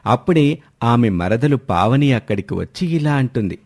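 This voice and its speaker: A man's voice: medium pitch, lively intonation, moderate pace, darkish tone. A man narrating a scripted moral story as a storyteller.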